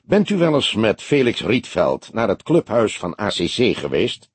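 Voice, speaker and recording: Man acting a husky, wry old police detective. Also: fairly low pitch, wildly swooping pitch, no room sound, full character voice. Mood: dryly mocking. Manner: measured, probing, dryly mocking. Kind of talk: audiobook character dialogue.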